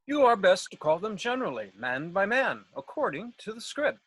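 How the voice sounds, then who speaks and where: A woman's voice: medium pitch, big pitch swings, moderate pace, a bit bright, slightly rough, dry recording. A woman playing a self-important, overeager male weaver in a Shakespeare read, declaiming theatrically. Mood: eager, bossy. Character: Nick Bottom, a bombastic, overeager male weaver and amateur actor. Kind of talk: Shakespeare table read over video call. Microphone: video call, close.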